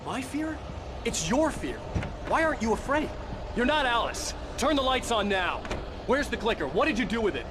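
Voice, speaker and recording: A man's voice: extremely high pitch, sing-song, moderate pace. A man acting in a video game as a distraught, suspicious writer, agitated and demanding. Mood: fearful, demanding. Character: distraught, suspicious male writer afraid of the dark. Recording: loud ambient noise, slightly distant.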